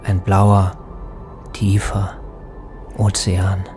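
An actor playing a melancholic lost soul in a poetic radio play, dreamy and lyrical in delivery. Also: male voice, sits low, monotone, very slow, a little mellow. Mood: dreamy, weightless. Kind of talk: poetic audio drama monologue. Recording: music behind the voice.

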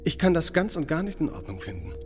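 An actor playing an evasive, self-satisfied small-town mayor in a radio drama, oratorical and blandly bureaucratic. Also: male voice, on the high side, big pitch swings, fast. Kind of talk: German radio drama acting. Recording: long hall reverb, music underneath.

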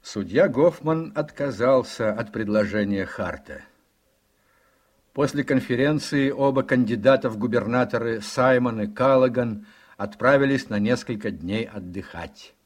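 A man narrating a radio drama from a script, in an expressive, measured storytelling manner. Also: medium pitch.

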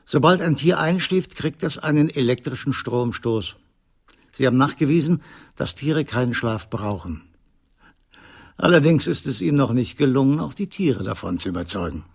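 An actor playing an ageing, sardonic scientist in a German radio play, measured and dryly ironic. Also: male voice, medium pitch, expressive, gravelly, husky. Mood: dry irony. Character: ageing, sardonic, lonely scientist, hospitable and resigned.

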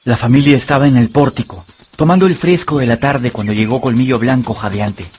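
A man's voice: mid-range voice, brisk, darkish tone, a little hiss or hum. A man narrating a radio drama from a script in a storytelling style.

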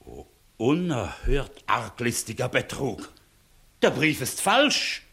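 Authoritative senior official, stern and dignified, widely swinging intonation, 1960s radio drama. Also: male voice, mid-range voice, very animated, takes it slowly, vocal fry, pushed and bright, full character voice. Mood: indignant. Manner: authoritative, clipped commands. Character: stern, dignified visiting court inspector, exasperated authority. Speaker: actor. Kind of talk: 1960s German radio play acting.

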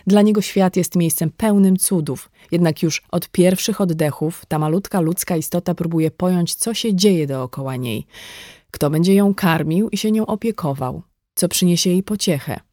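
A woman reading a scripted nonfiction audiobook in a measured, articulate manner. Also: on the low side, dark tone, no room sound, clean studio sound. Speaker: audiobook narrator.

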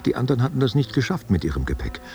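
An actor playing a guilt-haunted war veteran confessing to his lover in a halting, self-questioning monologue. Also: mid-range voice, sing-song, a little mellow, soft background music. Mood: grim, remembering. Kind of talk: literary audio drama monologue.

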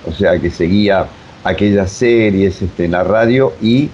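An older man with an Argentine accent reminiscing in a casual interview, halting and repeating himself. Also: sits low, darkish tone. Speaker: radio drama director, interview guest. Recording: dull remote sound with faint noise.

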